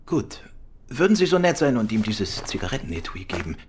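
A man acting in a German radio play as a shrewd, composed gentleman detective, polite but decisive. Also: on the low side, big pitch swings, brisk, breathy edge, full character voice. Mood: thoughtful, polite.

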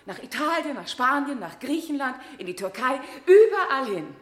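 A high, swooping voice of an insistent mother in a seventies radio drama. She is emotional and bright-toned, in an echoey room. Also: very animated, moderate pace. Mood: chatty, insistent. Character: insistent, emotional mother claiming her lost son. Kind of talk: 1970s German radio play acting.

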